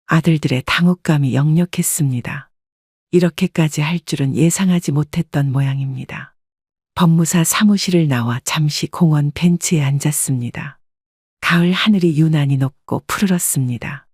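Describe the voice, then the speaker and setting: A woman narrating a first-person story in a calm, even, reflective scripted reading. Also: deep voice, moderate pace, husky, no echo, studio quality.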